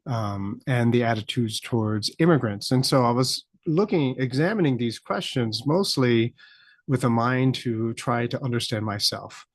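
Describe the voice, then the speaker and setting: A middle-aged man with an American accent talks through his thoughts on a solo podcast, pausing often and filling gaps with "uh". His voice sits at an ordinary pitch for a man. The sound is dry and close, with no room around it. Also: no room sound. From United States. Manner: reflective, thinks aloud with frequent fillers. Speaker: podcast host.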